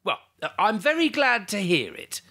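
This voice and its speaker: A cheerful young gentleman from a Victorian storybook, acted out by a male narrator. His pitch leaps about in a very animated way. He is hearty and good-natured, full of exclamation. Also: unhurried, gravelly, slightly rough, full character voice. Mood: teasing. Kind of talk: Victorian storybook character dialogue.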